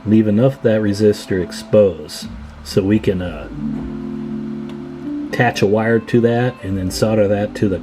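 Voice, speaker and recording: A man with a fairly low voice talks viewers through hands-on bench work. He is casual and folksy, addressing the audience informally like fellow hobbyists. Guitar music plays clearly behind him. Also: steady tone, a little slow, long pauses. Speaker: host of a radio repair video channel. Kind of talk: casual hands-on workbench explainer.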